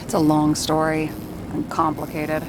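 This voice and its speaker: A jaded video game woman with a fairly low voice. She is blunt and guarded, with a caustic edge. Strong ambient noise sits behind her words. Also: monotone, unhurried. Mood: defensive, guarded. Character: jaded, defensive woman in a borrowed, worn-out body. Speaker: video game character actor. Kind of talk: dramatic video game dialogue acting. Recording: very noisy game ambience, vehicle rumble.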